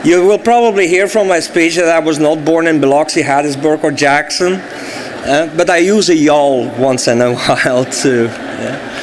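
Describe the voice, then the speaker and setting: Belgian man, Dutch native, formal sponsor remarks, higher-pitched, noisy recording. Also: moderate pace. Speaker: sponsor speaker. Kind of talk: formal speech at an event.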